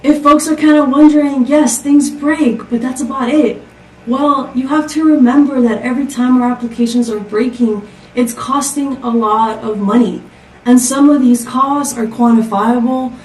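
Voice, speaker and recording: A woman's voice: high-pitched, fairly even intonation, normal speed, darkish tone, a little hiss or hum. A woman from Costa Rica giving a prepared tech conference talk, upbeat and full of rhetorical questions. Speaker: conference speaker. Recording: echoey, distant from the mic.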